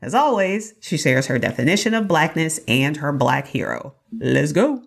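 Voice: medium pitch, big pitch swings, normal speed, gravelly, husky. A podcast host introducing a guest and asking questions in an upbeat, encouraging way. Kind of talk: podcast interview.

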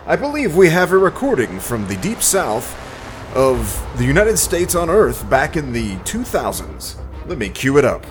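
A man's voice: medium pitch, huge pitch swings, moderate pace, slight background noise. A man presenting a podcast in a scripted, theatrical announcer style, reading out credits and story recaps. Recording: loud music under the voice. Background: music.

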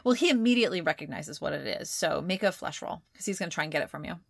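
A woman's voice: sits low, lively intonation, racing, creaky, no echo, studio quality. A woman narrating as game master of a tabletop game, laughing often and calling for dice rolls.

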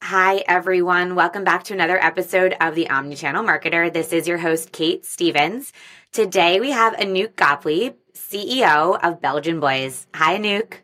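A woman's voice: lowish voice, sharp tone. A woman hosting an interview podcast, upbeat and conversational as she asks questions. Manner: upbeat, rapid scripted intro then conversational questions. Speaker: podcast host.

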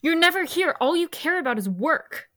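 An actress playing a blunt, cheeky but loyal teenage daughter in an audio drama, talking casually. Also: female voice, extremely high pitch, lively intonation, moderate pace, fairly bright tone, no room sound, studio quality. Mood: accusing.

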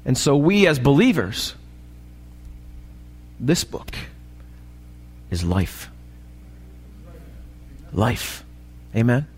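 A male pastor with an American accent and a slightly raspy voice, preaching a sermon and often checking in with short questions to the congregation. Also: mid-range voice, sing-song, extremely slow, pauses often. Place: church, preaching live. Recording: live church recording, long hall reverb.

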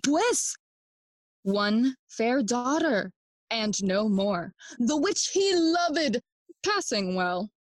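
A brooding, mocking young prince in a Shakespearean audio play. The voice is fairly high and takes its time over each theatrical phrase, recorded close and dry. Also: female voice, sing-song, no room sound, heavily acted. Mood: wry, welcoming. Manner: theatrical, deliberate verse delivery. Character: Prince Hamlet, brooding, mocking young nobleman feigning madness. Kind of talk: Shakespearean audio play acting, bilingual English and Spanish.

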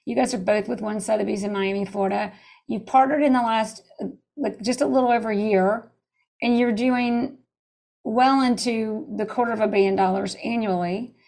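Gravelly-voiced woman hosting a podcast. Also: fairly high pitch, steady tone, normal speed, darkish tone, poor audio. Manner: enthusiastic, chatty questioning. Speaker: podcast host. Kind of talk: casual interview.

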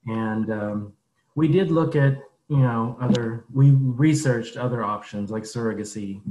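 Guest: man in his fifties, measured and plain, echoey room sound. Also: medium pitch, dark tone, a little hiss or hum. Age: 50s. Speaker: podcast guest. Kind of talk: casual interview answers.

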